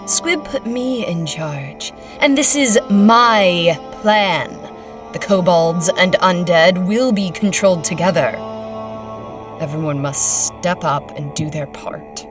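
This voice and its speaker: A woman's voice: on the low side, slow, over music. A woman playing an imperious, curt commander of an undead horde in a fantasy audio drama, heard from a distance.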